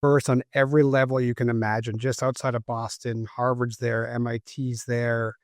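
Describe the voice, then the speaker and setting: A man's voice: medium pitch, flat delivery, moderate pace, dry recording, studio quality. A man in his fifties from the Boston area, talking reflectively as a podcast guest and often checking in with "right?". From Cambridge, Massachusetts. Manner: reflective, tags points with "right?". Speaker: guest, former FBI agent and CEO. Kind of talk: conversational podcast interview.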